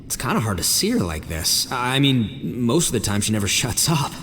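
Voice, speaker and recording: A man's voice: medium pitch, very animated, normal speed, a bit bright, in a large hall, a little hiss or hum. A man playing a boisterous, easygoing, big-hearted brawler in a video game, with a casual, slangy delivery. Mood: fond, worried. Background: faint background music.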